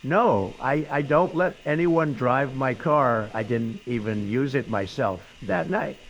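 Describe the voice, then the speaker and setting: A man playing an evasive suspect who gives halting, hesitant denials in an acted interrogation recording. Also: mid-range voice. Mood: evasive, uneasy. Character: evasive male suspect answering police questions.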